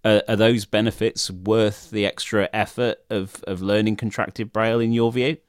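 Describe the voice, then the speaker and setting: Brief: male host steering a panel, low voice, asking follow-ups. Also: level tone, moderate pace, dry recording, clean studio sound. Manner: probing follow-up questions. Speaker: moderator. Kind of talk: webinar moderation.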